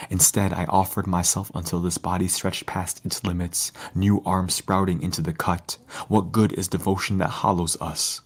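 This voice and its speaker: Deep, husky man reading poetry. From Detroit, United States. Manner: solemn, measured, lyrical delivery. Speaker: poet. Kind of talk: spoken-word poetry reading.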